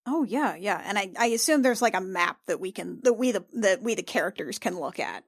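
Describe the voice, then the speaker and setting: A woman's voice: medium pitch, expressive, brisk. A woman chatting casually and inquisitively as a player in a tabletop roleplaying podcast. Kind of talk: casual chat.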